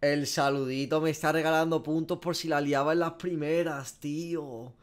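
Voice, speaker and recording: A man's voice: fairly high pitch, steady tone, moderate pace, smooth. A man, giving casual, profanity-laced reaction commentary as a gaming streamer. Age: 20s. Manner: slangy, profane. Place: small room at home.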